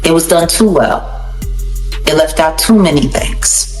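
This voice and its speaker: A woman's voice: low voice, measured pace, darkish tone. A woman giving opinionated commentary as an interview guest, emphatic, repeating herself and asking rhetorical questions. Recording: echoey room, faint music behind.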